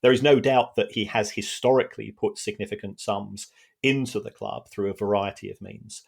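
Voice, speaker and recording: A man giving conversational expert analysis on a podcast, explaining carefully in measured, hesitant phrases. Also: fairly low pitch, fairly even intonation, vocal fry, husky.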